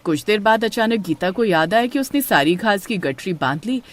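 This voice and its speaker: A woman narrates a scripted horror story. Her voice sits at a middle pitch and moves up and down in an animated, storytelling way. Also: moderate pace.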